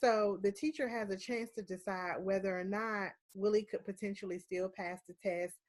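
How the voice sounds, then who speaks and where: A woman with a stuffy, congested nose speaks into a video call at an ordinary middle pitch. She walks through her points in a measured, explanatory way and keeps filling gaps with "um" and "of course.". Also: fairly even intonation. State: stuffy nose from a head cold. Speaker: student presenter. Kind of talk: class presentation over video call.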